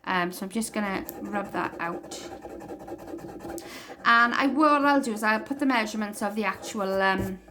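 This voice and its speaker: A Welsh woman talks through a craft project in a relaxed, rambling way, checking herself out loud as she goes. Her voice sits at an ordinary pitch. Faint clicks and handling noise sit under her speech. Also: moderate pace. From Wales. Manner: chatty, rambling, thinks aloud while working. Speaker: craft tutorial host. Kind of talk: casual craft tutorial.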